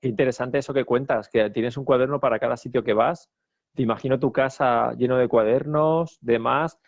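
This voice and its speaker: A man's voice: medium pitch, fairly even intonation, fairly quick, no echo, lo-fi sound. A man from Spain hosting an interview, asking long, rambling questions full of fillers and tag questions. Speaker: interviewer host. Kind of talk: interview conversation.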